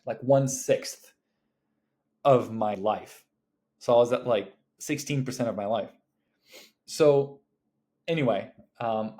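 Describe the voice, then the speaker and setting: Rambling casual young man, mid pitch. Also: a little mellow. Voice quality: rambling, heavy use of fillers like "like" and "um", swears casually. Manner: laughs between thoughts. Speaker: video creator. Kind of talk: casual talking-to-camera vlog.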